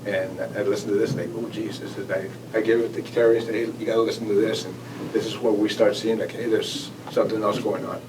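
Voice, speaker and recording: An American man giving an informal spoken recollection as a guest speaker, in a halting, conversational manner. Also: fairly low pitch, flat delivery. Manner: halting, conversational, acting out quoted exchanges. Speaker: guest speaker, air traffic manager. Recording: distant mic, noisy room ambience.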